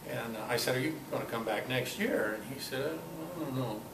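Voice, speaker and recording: An older man giving an informal talk at a club meeting, telling personal anecdotes in a halting, conversational way. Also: mid-range voice, creaky. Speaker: guest speaker at a club meeting. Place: meeting room, in front of an audience. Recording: echoey, noisy room recording from far off.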